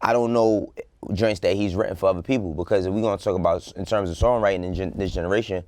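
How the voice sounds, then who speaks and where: Low-voiced man, slangy talk show co-host. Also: fairly even intonation, quick pace, darkish tone, no echo. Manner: slangy, informal, swears freely. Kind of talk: casual music discussion.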